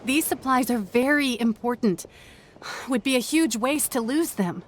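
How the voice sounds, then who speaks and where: A woman's voice: a little high, a little slow, background noise, close to an everyday voice. A woman playing a conscientious young female relief worker in a video game, speaking earnestly.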